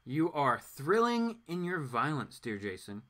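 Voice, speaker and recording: A man's voice: on the high side, very animated, a little slow, fairly bright tone. Man putting on a coaxing, theatrical villainess. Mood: sultry. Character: seductive, cool villainess, grandly flattering.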